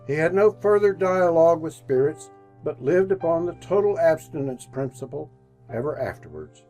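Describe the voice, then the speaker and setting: A male narrator in old-time radio style, theatrical and emphatic. His pitch is a little high and moves widely as he tells the story, with light music underneath. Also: expressive, normal speed, a little mellow. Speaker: narrator and announcer.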